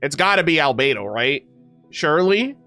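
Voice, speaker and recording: A man's voice: on the high side, sing-song, moderate pace, pushed and bright, soft background music. A man streaming a game, chatting casually with viewers, slightly nasal and stuffed up, with many fillers and restarts.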